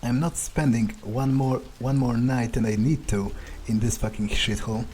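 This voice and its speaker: A man's voice: medium pitch, steady tone, moderate pace, darkish tone, natural voice. A man playing a cocky, cruel, foul-mouthed vampire who muses aloud in a video game roleplay. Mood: musing. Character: cocky, cruel male vampire player character, musing aloud. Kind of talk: in-character game roleplay monologue. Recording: clear background noise.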